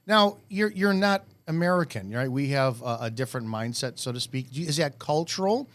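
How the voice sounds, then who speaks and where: A man in his forties hosting a podcast, asking questions and sharing his views in a lively, conversational way. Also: medium pitch, fairly quick. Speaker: podcast host. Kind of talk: casual interview chat.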